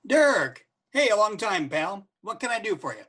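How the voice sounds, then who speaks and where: An actor playing an informal, energetic event planner and old pal in a comedy radio play. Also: male voice, sits high, moderate pace, exaggerated voice. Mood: upbeat. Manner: casual, energetic.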